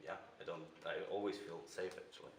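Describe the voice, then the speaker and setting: A young man from Germany with a very low voice, speaking hesitantly and trailing off. He sits away from the mic in an echoey room, with faint noise behind him. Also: steady tone, a little fast, recorded from a distance, crowd noise. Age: young adult. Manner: hesitant, repeats words, trails off quietly. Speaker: interviewee.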